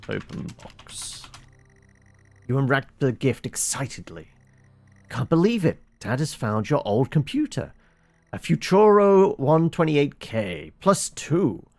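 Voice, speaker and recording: A man playing a text adventure game, reading it aloud dramatically with muttered commands and halting, casual asides. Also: mid-range voice, very animated, darkish tone, dry recording.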